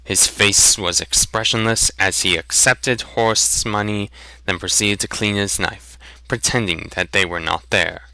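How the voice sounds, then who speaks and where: A male narrator reads a fantasy tale with drama and deliberate slowness. His voice is fairly low and crisp, and the sound is very dry and close. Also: fairly even intonation, bright, forceful tone, no echo, low-quality recording.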